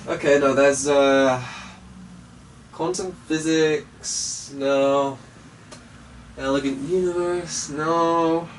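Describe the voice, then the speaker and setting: Slow, rambling man, distant mic. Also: a little high, steady tone. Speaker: vlog host. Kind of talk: rambling casual chat to viewers. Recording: distant mic with faint background noise.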